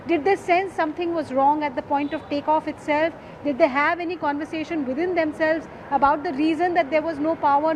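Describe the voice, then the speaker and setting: A woman field reporter with an Indian accent, explaining emphatically in a live report from outdoors. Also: high voice. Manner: emphatic, explanatory, rhetorical questions. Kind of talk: live on-location news reporting. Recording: clearly noisy outdoor urban field audio.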